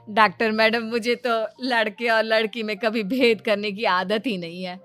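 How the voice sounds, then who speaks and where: A woman's voice: on the high side, steady tone, a little slow, fairly bright tone, soft background music, pitched below the performer's own voice. A woman voicing a fond, curious older Indian mother-in-law in a story, exclaiming and questioning. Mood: fond, impressed. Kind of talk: acted moral-story dialogue.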